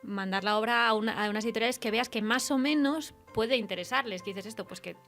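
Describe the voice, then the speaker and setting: A woman's voice: a little high, quick pace, fairly bright tone, soft background music. A woman with a Spain accent interviewing casually, thinking aloud and checking in with tag questions. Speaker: radio interviewer. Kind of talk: casual literary interview chat.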